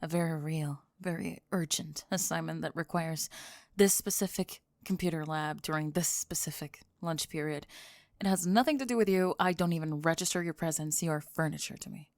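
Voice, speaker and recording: Woman acting as a low-voiced, prickly goth girl. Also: no echo, clean studio sound. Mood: aloof, defensive. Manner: sarcastic, defensive, halting and stammering when flustered. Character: prickly, flustered goth girl student hiding a crush. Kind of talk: ASMR roleplay acting, one-sided dialogue.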